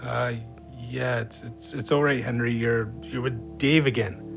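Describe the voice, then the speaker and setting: A man's voice: medium pitch, steady tone, measured pace, gravelly, faint music behind. An actor playing an awkward, kindly male cousin in an audio drama, with a hesitant, colloquial way of talking.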